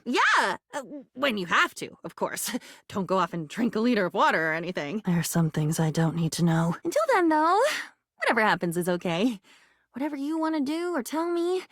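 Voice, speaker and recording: A woman's voice: on the high side, no echo, clean studio sound. A woman voicing a sweet, naive and chatty living diary in a video game, rambling with wide-eyed wonder. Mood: chatty, playful. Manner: breathless rambling, wide-eyed, swings from hushed to gushing.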